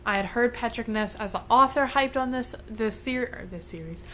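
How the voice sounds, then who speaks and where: A woman's voice: on the high side, moderate pace, a bit bright, slight background noise, low-quality recording. A young woman with a congested voice, chatting casually through a monthly book wrap-up with rambling asides. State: congested from illness. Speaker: book vlogger.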